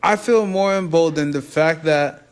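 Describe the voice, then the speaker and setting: A man's voice: a little high, unhurried, clean tone. A man in his twenties chatting casually with his partner, laid-back and jokey, with slangy talk. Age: 20s. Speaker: co-host. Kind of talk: casual couple chat.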